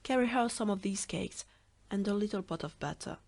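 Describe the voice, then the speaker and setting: A woman as a gentle, caring mother. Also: mid-range voice, brisk, vocal fry. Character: caring mother sending her daughter off.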